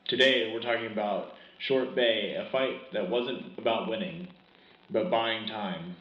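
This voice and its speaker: A man's voice: on the low side, unhurried, echoey room, muffled recording, lightly acted. A man playing an earnest, patriotic host of a sci-fi wartime radio news show, with a formal announcer delivery. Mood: serious, inquiring. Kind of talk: scripted wartime radio broadcast.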